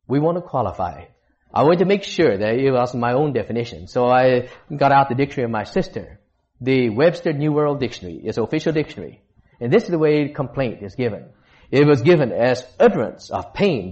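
A missionary preacher from Texas delivers a sermon in a church at an ordinary, middling pitch. He stops, restarts and hunts for words as he goes. The recording is dull and lo-fi. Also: darkish tone. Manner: halting, with frequent restarts and searching for words.

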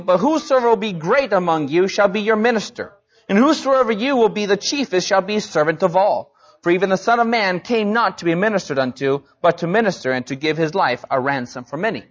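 A man's voice: high-pitched, animated, fairly quick, highs cut off. A man preaching a sermon as a missionary, talking casually and rising emphatically on his key points. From Mexico.

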